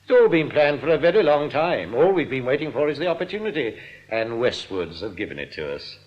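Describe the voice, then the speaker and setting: An actor in a radio play playing a smugly genial crooked bank manager, urbane, patronising and unruffled. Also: male voice, mid-range voice, big pitch swings, brisk, close to an everyday voice. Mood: smooth, patronising. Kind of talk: radio drama acting. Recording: dull, muffled radio recording.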